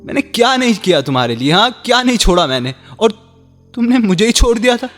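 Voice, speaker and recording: A withdrawn young husband in a radio play, hurt and guarded. His delivery is halting and emotionally strained. Long hall reverb surrounds him, with faint music behind. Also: high voice, sing-song, fairly bright tone. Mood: hurt, resentful. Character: wounded, withdrawn young husband hiding resentment behind duty. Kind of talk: Hindi radio drama acting.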